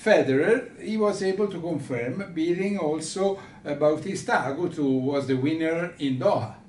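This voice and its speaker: A man with an Italian accent hosts a sports chat in a fairly high voice. He speaks haltingly, often searching for the next word. The room echoes and he sits back from the microphone. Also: darkish tone. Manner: hesitant, halting, searching for words. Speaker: host of a tennis radio show. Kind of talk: unscripted sports discussion with a guest. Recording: roomy, distant mic with background rumble.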